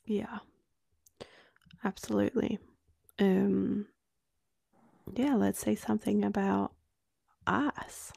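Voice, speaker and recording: A woman in her late twenties from Norway, speaking English with a Norwegian accent tinged with Australian, co-hosting a candid podcast and often dropping to a hushed near-whisper. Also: mid-range voice, fairly even intonation, slow pace, gravelly, pauses often, slightly rough. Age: 20s. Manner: soft-spoken, drifting into hushed near-whispers. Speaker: podcast co-host. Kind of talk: candid conversational podcast chat.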